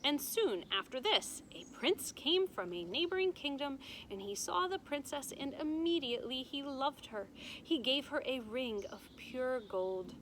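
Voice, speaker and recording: A woman voicing a stern grandmother who tells a dark fairy tale with dramatic emphasis. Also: sits high, animated, a little slow, a little hiss or hum, pitched above the performer's own voice, full character voice, low-quality recording. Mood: solemn.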